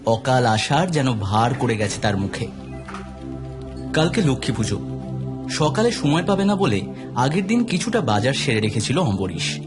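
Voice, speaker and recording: A man narrating a scripted audio story in an expressive, suspenseful, dramatic storytelling style. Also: medium pitch, moderate pace, pauses often. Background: clear background music.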